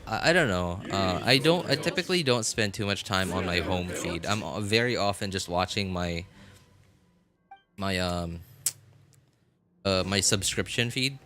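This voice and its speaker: A man chatting casually as a game livestreamer, rambling and halting as he thinks aloud. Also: deep voice. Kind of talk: casual chat to viewers while gaming. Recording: music playing behind the voice. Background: background music.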